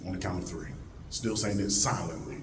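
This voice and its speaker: A man with a deep voice speaks to a live conference audience. His motivational talk is animated and swings from loud calls to the crowd to hushed, low tones. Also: fairly quick. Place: conference hall.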